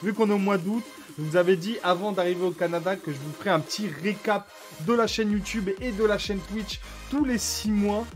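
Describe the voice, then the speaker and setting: Higher-pitched guy vlogging over music. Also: fairly quick, few pauses. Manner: informal, conversational, addresses viewers as mates. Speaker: small YouTuber, vlogger. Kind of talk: casual vlog talk to subscribers, commenting on channel stats.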